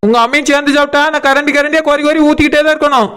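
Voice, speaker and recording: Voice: high voice, fairly even intonation, rapid-fire, fairly bright tone. A performer voicing a cheeky, stubborn cartoon food-stall seller who hawks and bargains emphatically in a Kanyakumari Tamil accent. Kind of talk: comic cartoon dialogue.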